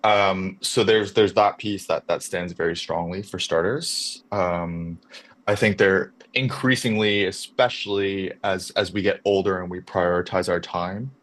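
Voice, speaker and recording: A man's voice: low-pitched, fairly even intonation, a little slow, a bit bright. A young adult man from Canada, a podcast guest talking about his move abroad in a thoughtful, restarting way. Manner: reflective, with frequent restarts. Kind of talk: casual conversation.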